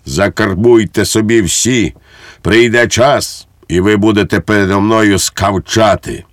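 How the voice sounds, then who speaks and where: A man's voice: a little slow, no room sound, clean studio sound, lightly acted. A man voicing an irascible, bullying army second lieutenant in an audiobook, barking out threats and orders. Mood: menacing. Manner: barking, shrill outbursts, threatening. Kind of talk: audiobook character acting.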